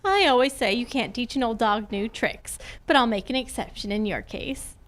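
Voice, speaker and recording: A woman's voice: on the high side, fairly bright tone, natural voice. A woman acting a sassy, wry female private detective in an old-time radio detective drama. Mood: teasing. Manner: wry, brisk, teasing. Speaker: amateur radio club performer.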